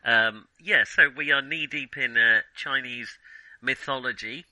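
A man with a very bright, forceful voice at a middle pitch, recorded dry and close. He talks casually as a film podcast host, wry and jokey, happy to poke fun at himself. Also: measured pace, dry recording, low-quality recording. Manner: wry, self-deprecating, jokey. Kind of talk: casual film discussion with playful scripted intro.

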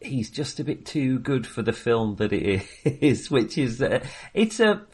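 A man's voice: mid-range voice, big pitch swings, measured pace, husky. A man co-hosting a casual film review chat, speaking hesitantly and often restarting and correcting himself. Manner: hesitant, self-correcting, with frequent repeated starts. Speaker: film podcast co-host.

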